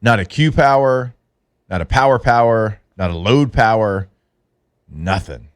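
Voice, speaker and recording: Lively sports radio host. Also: male voice, fairly low pitch, measured pace, smooth. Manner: animated, laughs easily, exclaims often. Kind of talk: casual sports talk.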